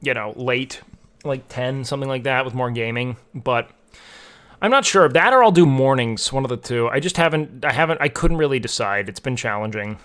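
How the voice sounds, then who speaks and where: A man's voice: medium pitch, normal speed, fairly bright tone. A young man streaming and chatting casually with his viewers, rambling with lots of filler words. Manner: rambling, filler-heavy, frequent "like". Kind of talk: casual chat with chat.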